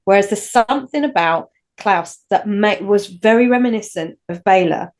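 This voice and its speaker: A woman's voice: mid-range voice, normal speed, a little mellow. A woman with a Northern English accent, co-hosting a casual podcast chat and rambling through her points with frequent restarts. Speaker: podcast co-host.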